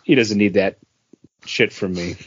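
A man co-hosting a podcast, telling anecdotes in an informal, halting, conversational way. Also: lowish voice, steady tone, husky. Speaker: podcast co-host. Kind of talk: casual chat and anecdotes. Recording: dry but dull lo-fi audio.

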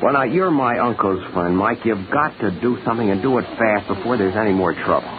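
An actor playing an earnest, anxious young nephew in a 1940s radio drama. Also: male voice, lowish voice, fairly quick, lightly acted. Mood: anxious, urgent. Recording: tinny old radio recording, crowd murmur.

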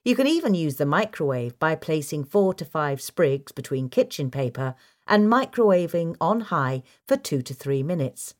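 A woman's voice: low voice, darkish tone. A woman reading a scripted instructional piece as a narrator. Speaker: narrator reading a gardening article.

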